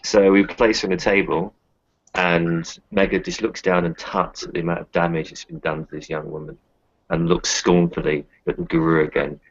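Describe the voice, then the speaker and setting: A man with a deep voice full of fry talks through his moves in a tabletop game. His style is casual. The recording is lo-fi and dull. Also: level tone, moderate pace. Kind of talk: casual game play, describing actions and dice. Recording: dull lo-fi audio.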